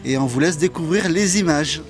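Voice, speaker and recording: Male presenter, somewhat high pitch, conversational links over clear background music. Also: normal speed. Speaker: studio presenter.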